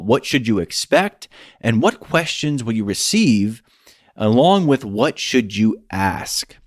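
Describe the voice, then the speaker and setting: A man with a mid-pitched voice hosts an explainer podcast in a close, dry recording. He follows a script in an instructional manner, laying out advice step by step and speaking straight to his listeners. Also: no room sound. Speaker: podcast host and business advisor.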